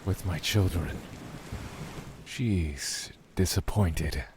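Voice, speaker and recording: An actor playing a brooding lord of a dark void realm in an audio drama, speaking in a measured, solemn way. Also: male voice, low voice. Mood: guarded. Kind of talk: audio drama first-person narration and dialogue. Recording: faint noise under rain and thunder. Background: rain and thunder ambience.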